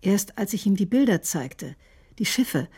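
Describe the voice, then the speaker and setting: An actress playing a watchful woman who narrates in the first person in a radio drama, husky and reflective. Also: mid-range voice, expressive, unhurried, mellow. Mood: wary, reflective. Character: watchful woman recounting a tense encounter.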